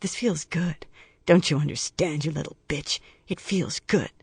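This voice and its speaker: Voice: mid-range voice, a little slow, creaky, slightly rough, no room sound, studio quality, heavily acted. A narrator voicing a menacing male death-row killer who taunts in a crude, mocking singsong and sneering whispers. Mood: creepy coaxing.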